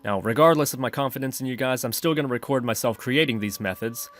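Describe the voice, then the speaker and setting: A man narrating an instructional screencast as the host of a software tutorial. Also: medium pitch, quick pace, faint music behind.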